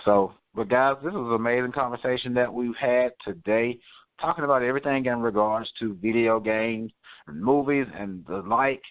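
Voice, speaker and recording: A man's voice: mid-range voice, normal speed, smooth, no room sound, dull audio. A man hosting a podcast, talking casually with his co-hosts and listeners. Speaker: podcast host. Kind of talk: casual podcast chat and sign-off.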